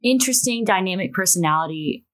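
A young woman with a raspy voice co-hosting a podcast, upbeat and leading the talk. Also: mid-range voice, lively intonation, fast talker. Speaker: podcast co-host. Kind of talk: casual chat.

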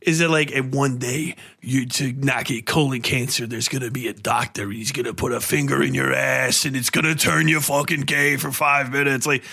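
A man's voice: mid-range voice, steady tone, normal speed, creaky, hardly any pauses, bright, forceful tone. A man talking casually as a podcast host, blunt and rambling, with heavy swearing. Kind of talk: casual, profane conversation.

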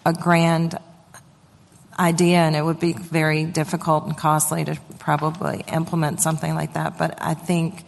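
Council member, woman, low monotone, slow and searching, echoing room. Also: gravelly. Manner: slow, searching, thinking aloud. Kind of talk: remarks at a public meeting.

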